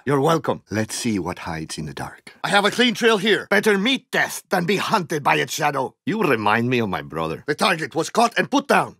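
An actor playing an intense, relentless special-forces hunter in a video game, delivering terse, ominous lines. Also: male voice, mid-range voice, fairly bright tone, breathy edge, no room sound, studio quality, exaggerated voice. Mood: low, menacing.

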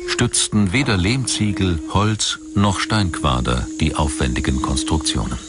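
Deep-voiced male documentary narrator. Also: measured pace.

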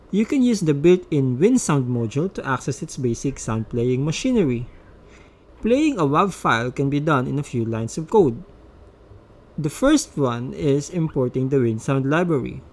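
A man presenting a step-by-step instructional screencast as a tutorial narrator. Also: fairly high pitch.

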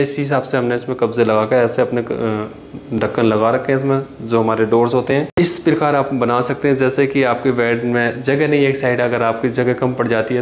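Young man, mid-pitched, homespun tutorial delivery, phone-recorded in a bare, echoey bedroom. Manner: plain explanatory talk with frequent "jaise ki" and pauses. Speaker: carpenter showing his furniture work. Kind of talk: walkthrough tutorial. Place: finished bedroom with hard floor and walls. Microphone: handheld phone camera. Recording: echoey room with faint ambient noise.

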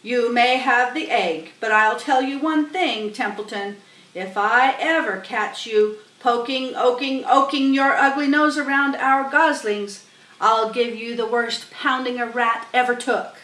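Lady doing a bossy protective gander, storybook, repeats himself, kinda high, roomy sound. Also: steady tone, a little slow, fairly bright tone. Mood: stern, threatening. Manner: stuttering repetition, stern. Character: blustering, protective male gander, repeats his words. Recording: roomy, distant webcam microphone.

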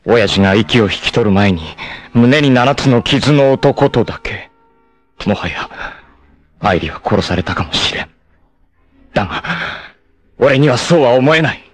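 An actor playing a fierce, proud martial artist in an anime, with an intense, theatrical delivery. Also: male voice, mid-range voice, expressive. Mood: brooding, cool. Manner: intense, theatrical, from cool measured speech to anguished shouts. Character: fierce, proud martial artist hunting his sister's abductor. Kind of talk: dramatic anime acting.

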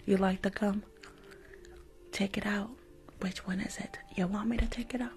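A woman's voice: medium pitch, fairly even intonation, normal speed, darkish tone, soft background music. A young woman with a New York accent does an ASMR roleplay as a rude school nurse, speaking close to the microphone in a curt, sassy, dismissive way. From Bronx, New York. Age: young adult. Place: small room at home. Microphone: close desk microphone with fluffy cover.

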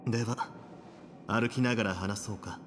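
Actor as low-voiced, grave military official. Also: male voice, fairly even intonation, fairly bright tone, lightly acted. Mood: grave, composed. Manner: solemn, formal, deliberate. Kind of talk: Japanese video game voice acting. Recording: game music and background noise. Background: game music.

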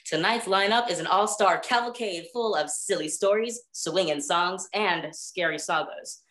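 A woman's voice: lowish voice, moderate pace, a bit bright, close to an everyday voice. A young woman playing a chipper 1940s radio co-host with showy announcer patter. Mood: showy, bright. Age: young adult. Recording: echoey room sound.

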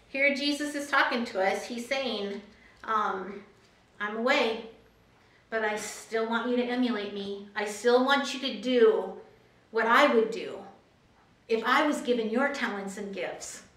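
A middle-aged woman with an American accent preaches in a conversational way, posing questions as she explains scripture. Her voice sits fairly high. It is recorded in an echoey church room from a slight distance. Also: sharp tone. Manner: conversational, asking rhetorical questions with check-ins like "right?". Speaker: pastor. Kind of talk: sermon, explaining parables and reading scripture. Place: small church sanctuary, indoors.

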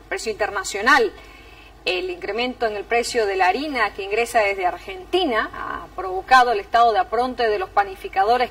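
A woman's voice: fairly high pitch, a bit bright, recorded from a distance, poor audio. A middle-aged woman with a Bolivian Spanish accent presenting scripted news as an anchor in a TV studio.

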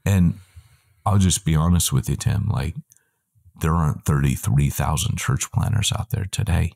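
A middle-aged man, a guest on a video call, giving a long, animated answer full of quick asides and self-corrections, heard through wired earbuds in a room at home. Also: a bass voice, creaky. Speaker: guest, church planting trainer.

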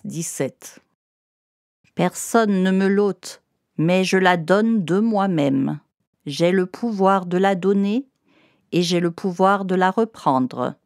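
A woman narrating a scripted Bible lesson for children, explaining in simple, deliberate phrases. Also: lowish voice, darkish tone, no echo, clean studio sound.

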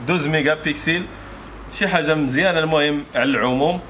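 Moroccan man, thirties, slightly high voice, quick repetitive sales patter, informal product presentation. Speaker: shop seller presenting products. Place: small motorbike accessory shop, indoors. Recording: clearly noisy shop ambience.

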